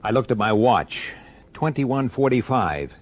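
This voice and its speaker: A man's voice: mid-range voice, expressive, unhurried, slight background noise, close to an everyday voice. A man acting in a radio drama as a weary, dogged police detective who narrates his own case with an urgent, exasperated manner. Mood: weary, determined. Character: weary, dogged human police detective, sharp-tempered and intense. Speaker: detective and first-person narrator.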